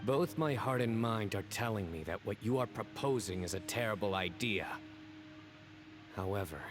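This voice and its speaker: A lowish male voice from an actor for a stoic young general in a fantasy game. He is calm, measured and serious, with clear background music. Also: close to an everyday voice. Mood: resolute. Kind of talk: dramatic video game voice acting.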